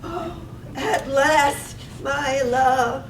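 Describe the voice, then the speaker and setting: A woman acts out a dreaming townswoman in a radio play, theatrical and drawn-out. The voice is extremely high and very slow. It comes from far off in a noisy, echoey room. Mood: dreamy. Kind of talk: staged reading of a radio play. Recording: distant echoey room, clear noise.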